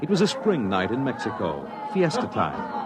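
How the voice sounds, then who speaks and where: A man narrating a 1950s radio drama in a dramatic, measured, portentous manner. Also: on the low side, wildly swooping pitch, a loud crowd. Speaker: announcer and narrator. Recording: muffled old radio broadcast, loud music behind. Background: loud dramatic music.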